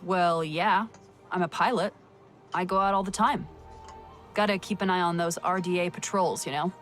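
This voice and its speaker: An actress playing a confident human pilot in a video game, casual and offhand. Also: female voice, on the low side, fairly even intonation, moderate pace, a bit bright, faint music behind, lightly acted. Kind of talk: video game dialogue acting.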